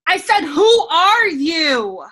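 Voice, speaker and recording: A woman's voice: very high voice, big pitch swings, slow pace, fairly bright tone, heavily acted. A woman playing a lonely, questioning young fairy-tale captive in an irreverent radio play, speaking in a modern conversational way. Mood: curious, exasperated.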